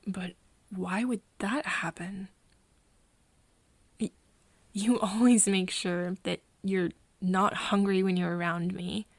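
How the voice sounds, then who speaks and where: A woman playing a breathy, hesitant young woman. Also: medium pitch, steady tone, no room sound. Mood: puzzled, hushed, then nervous, teasing. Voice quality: breathy, often dropping into a whisper. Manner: halting, hesitant, with nervous giggles. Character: hesitant, caring young woman, human friend of a vampire. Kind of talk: soft ASMR roleplay acting addressed to the listener.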